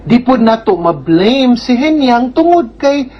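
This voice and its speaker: A woman's voice: fairly high pitch, very animated, unhurried, muffled recording. A Filipino woman with a Bisaya accent reads out questions and answers them as an advice host, explaining informally with tag questions. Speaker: host giving legal advice to a letter sender. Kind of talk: advice segment, reading out and answering questions conversationally.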